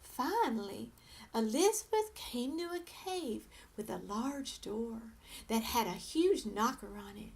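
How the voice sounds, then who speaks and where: A woman storyteller with a high voice. She narrates a children's tale expressively, reading aloud at a slow, deliberate pace. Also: animated, creaky, a little mellow.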